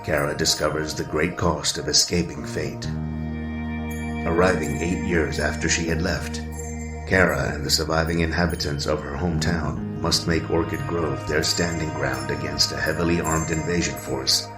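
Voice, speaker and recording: A man's voice: a bass voice, fairly even intonation, normal speed, roomy sound, far from the mic, music in the background. A man narrating a "previously on" recap in a grave, dramatic, scripted way.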